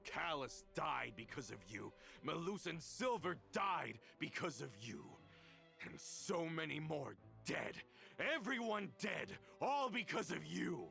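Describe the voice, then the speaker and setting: A man's voice: a little high, sing-song, slow, vocal fry, pushed and bright, soft background music, exaggerated voice. An actor playing a bitter, vengeful male traitor in a video game, speaking in a dramatic, accusing manner. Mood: anguished, accusing. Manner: dramatic, accusing, swinging from contempt to anguish. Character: bitter, vengeful man, a traitor obsessed with blame.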